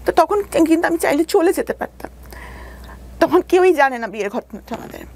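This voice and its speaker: A woman with a Bangladeshi accent giving a candid, personal account in a radio interview, halting at times and re-explaining herself as she goes. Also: very high-pitched, very animated, a little mellow. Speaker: interview guest telling her life story; doctor. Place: radio studio.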